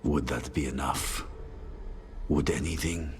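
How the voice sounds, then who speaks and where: A man's voice: rumbling low, fairly even intonation, a little slow. An actor playing a bitter, doom-saying man of an assassin family in a video game, needling with brooding questions. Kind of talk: dramatic video game acting. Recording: music behind the voice.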